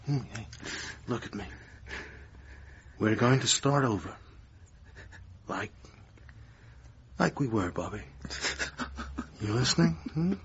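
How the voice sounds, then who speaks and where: An actor playing a smooth, manipulative theatre manager and controlling lover in a naturalistic radio drama. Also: male voice, medium pitch, expressive, takes it slowly, long pauses, muffled recording, slight background noise. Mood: coaxing, controlling. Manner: cajoling, domineering, self-satisfied.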